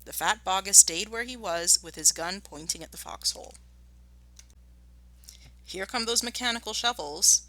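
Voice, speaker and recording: A woman teacher giving a storybook read-aloud lesson, explaining and narrating in an expressive manner. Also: mid-range voice, moderate pace, pauses often, dry recording. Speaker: primary school teacher.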